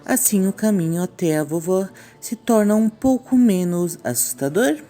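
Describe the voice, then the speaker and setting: A woman's voice: mid-range voice, lively intonation, moderate pace, warm tone. A Brazilian woman hosting a scripted online commentary on horror animation, with a theatrical storytelling manner. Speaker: YouTube host.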